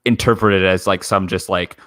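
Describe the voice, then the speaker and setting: Podcast panelist, male, fairly deep voice, emphatic casual fan talk, dry sound. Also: steady tone, moderate pace, a bit bright, no room sound.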